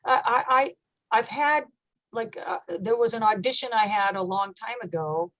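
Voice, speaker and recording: A woman metal singer with a low voice, talking casually in an interview, thoughtful and relaxed with many fillers. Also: moderate pace.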